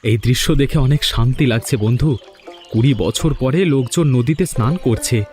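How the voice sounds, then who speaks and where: A man's voice: mid-range voice, moderate pace, a little mellow, soft background music, lightly acted. A man playing a determined, encouraging village friend in a fairy-tale cartoon, with a resolute manner. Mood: content. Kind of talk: dramatic dubbed cartoon dialogue.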